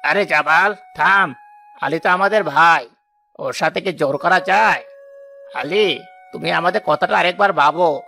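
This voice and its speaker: A greedy, wheedling cartoon rogue, acted by a man, all false friendliness. His voice is high for a man, sharp and forceful. Faint music sits underneath. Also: a little slow, creaky, long pauses, exaggerated voice. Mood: coaxing. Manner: teasing, persuasive. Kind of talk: Bengali cartoon villain acting.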